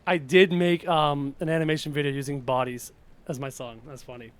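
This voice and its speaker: A man's voice: on the high side, fairly even intonation, fairly quick. A young Canadian man with a slight cough chats casually during a live gaming stream, reading out viewer questions and getting frustrated with the game. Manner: chatty, reads viewer questions aloud, frustrated outbursts at the game. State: sick with a cough. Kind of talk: casual live-stream chat while playing.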